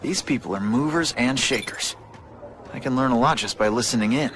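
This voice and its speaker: An actor playing a quippy, slightly awkward young American superhero in a video game. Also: male voice, medium pitch, a little fast, background noise, natural voice. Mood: wry. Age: young adult. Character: wisecracking, nervy young superhero and newspaper photographer.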